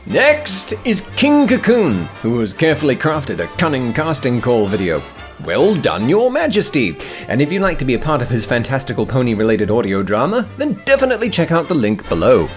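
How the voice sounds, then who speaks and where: A man's voice: mid-range voice, huge pitch swings, a little fast, faint music behind. A man hosting with scripted comedic narration, theatrical and mock-grand, full of playful flourishes. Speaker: host.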